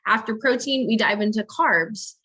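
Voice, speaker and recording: A woman's voice: a little high, normal speed, bright, forceful tone, breathy edge. A woman speaking as a fitness coach in a casual interview, explaining things step by step with frequent check-ins. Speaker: fitness coach and business owner, interview guest.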